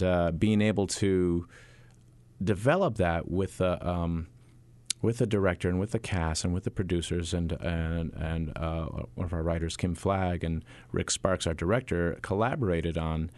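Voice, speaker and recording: A deep-voiced man talks through his work as a stage actor in an interview. He pauses often, filling gaps with fillers and restarting sentences. The sound is dry and close, with no room around it. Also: a little mellow, no echo. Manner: halting, many fillers and restarts. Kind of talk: interview answers.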